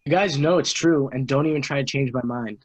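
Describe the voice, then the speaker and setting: A man reads as a male high school senior at an average pitch. He is eager and insistent, in a simple amateur table read. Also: a little fast, close to an everyday voice. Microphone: video call.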